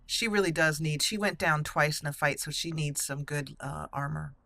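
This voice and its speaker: A woman's voice: sits low, moderate pace, fairly bright tone, dry recording. A woman hosting a game playthrough with casual commentary, chatting and thinking aloud as she goes. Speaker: gaming channel host. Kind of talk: casual let's play commentary.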